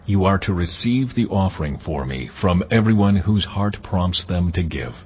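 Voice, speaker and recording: A man voicing God in a dramatized scripture reading, speaking in a measured, commanding way. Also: low-pitched, expressive, husky. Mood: solemn. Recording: dull lo-fi audio, faint music.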